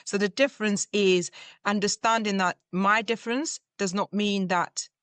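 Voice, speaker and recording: A woman's voice: medium pitch, fairly even intonation, moderate pace, fairly bright tone, clear, resonant tone, dry recording. A woman from Zambia, a leadership coach, answering interview questions in a reflective way with everyday examples.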